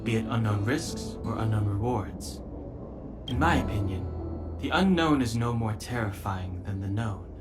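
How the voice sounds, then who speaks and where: A man's voice: on the low side, close to an everyday voice. Man playing a reserved, stoic young man. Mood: serious, reflective. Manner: measured, detached, reflective. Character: calm, reserved, serious young man, stoic space traveller. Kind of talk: animated game cutscene dialogue.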